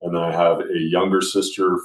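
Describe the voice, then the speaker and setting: A middle-aged man from France, a podcast guest on a video call, talking in a relaxed, self-deprecating way with lots of fillers. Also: low voice, a little fast. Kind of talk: casual conversation. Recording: echoey room.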